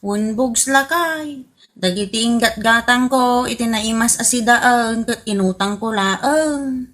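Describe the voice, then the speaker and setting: A woman's voice: a little high, slow, fairly bright tone. A woman voicing a wife in debt who pleads with her husband in a whiny tone. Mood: pleading. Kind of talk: comedy radio drama acting.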